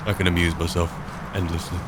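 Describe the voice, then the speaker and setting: A low-pitched voice of a man roleplaying a boastful, drink-loving archmage in a fantasy game. He talks in wry, colloquial asides, half to himself. Game ambience is clearly audible behind him. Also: level tone, fast talker, heavy background noise, natural voice. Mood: musing. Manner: sardonic, muttering asides, British colloquialisms. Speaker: roleplaying gamer narrating in character as an adventurer mage. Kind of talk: in-character game roleplay commentary.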